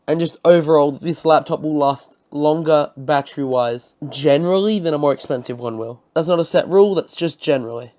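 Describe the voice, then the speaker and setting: A man's voice: fairly high pitch, darkish tone. A young man with a slight cold, casually reviewing a laptop and rattling off specs. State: has a cold. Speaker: tech reviewer.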